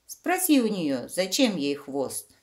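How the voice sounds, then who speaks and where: A woman's voice: on the low side, very animated, takes it slowly, a little mellow, pitched above the performer's own voice. A woman voicing an exasperated man in a children's fairy tale, pleading and fed up. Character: exasperated man pestered by a fly.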